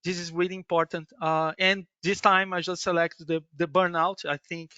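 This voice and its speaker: A middle-aged man from Brazil with a Brazilian Portuguese accent, presenting a webinar in halting English full of restarts and tag "yes". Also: high-pitched, level tone, sharp tone, clean tone, no echo, highs cut off. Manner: halting non-native English with many restarts and "yes" tags. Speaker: business development manager presenting a webinar.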